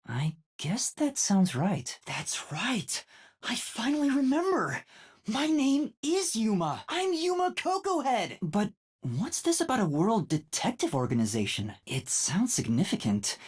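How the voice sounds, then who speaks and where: A woman voicing a timid, bewildered boy trainee detective in a video game, with a light, youthful, slightly breathy voice and a hesitant, earnest manner. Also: lowish voice, darkish tone, heavily acted. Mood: puzzled, pondering.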